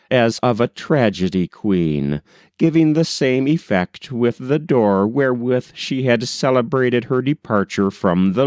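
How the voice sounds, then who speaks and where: A man reading a novel aloud as an audiobook, in an expressive, measured storytelling manner on a close mic. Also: lowish voice, no room sound. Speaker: audiobook reader. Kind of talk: audiobook narration.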